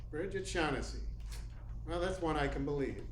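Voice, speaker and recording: A man's voice: fairly high pitch, animated, normal speed, close to an everyday voice. A man playing a cynical private detective in a noir radio play, terse, wry and slangy. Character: hard-boiled, cynical male private detective. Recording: roomy echo, clear background noise.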